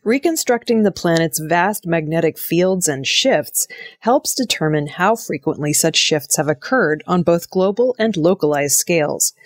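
Female newsreader, lowish register, measured formal scripted narration, dry recording. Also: lively intonation, no room sound. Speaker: audio news reader.

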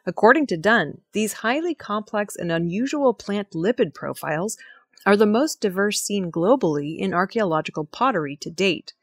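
A woman presenting scripted news stories in a measured, formal reading manner. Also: mid-range voice, expressive, husky, dry recording.

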